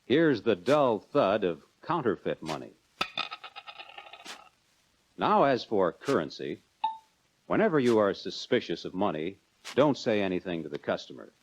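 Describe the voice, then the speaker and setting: An American man narrating a 1940s instructional film in a measured, instructive manner, addressing the viewer directly. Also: lowish voice, big pitch swings, noisy background. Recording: dull lo-fi 1940s film soundtrack.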